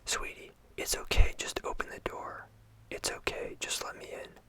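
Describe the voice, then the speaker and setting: A storyteller whispering the lines of a creature that imitates a pleading, tearful mother, coaxing and soft. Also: moderate pace, close to an everyday voice.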